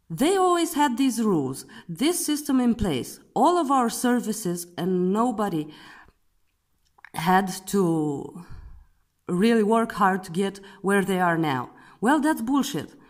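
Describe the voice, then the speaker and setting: A woman with a noticeable foreign accent speaks as a company co-founder. Her delivery is blunt and exasperated, with the odd curse. Her pitch swings widely as she presses her points. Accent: non-native English. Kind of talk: frustrated monologue to workers.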